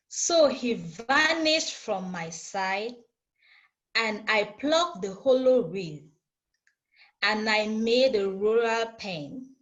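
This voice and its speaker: A woman speaking deliberately as she presents and recites poetry to an informal group. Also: fairly high pitch, a bit bright, highs cut off. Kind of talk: informal introduction and poem recitation. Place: online video call.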